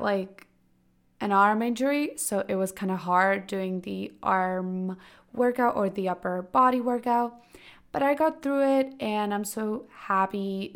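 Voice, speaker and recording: A young woman in her late teens with a raspy, hoarse voice from a cold, hosting a casual solo podcast in a loose, chatty way. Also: medium pitch. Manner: chatty, loose, full of "like" and "kinda". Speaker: podcast host.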